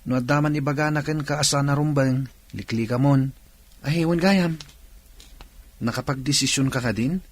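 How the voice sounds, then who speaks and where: A man's voice: medium pitch, moderate pace, pauses often, lightly acted. A young man plays a respectful student son in a radio drama. His voice sits mid-range, and he speaks earnestly and plainly. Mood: teasing.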